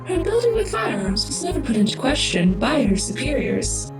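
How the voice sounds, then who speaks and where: A woman's voice: high voice, big pitch swings, fairly quick, echoey room, over loud music. A woman playing a composed narrator who reads out a military dossier in a scripted audio drama. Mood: composed, official.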